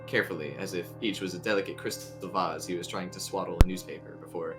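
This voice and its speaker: Deep voiced guy reading a creepy story out loud, guitar music under him. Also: fairly even intonation, fast talker. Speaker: story reader. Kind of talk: narrating a creepy story aloud.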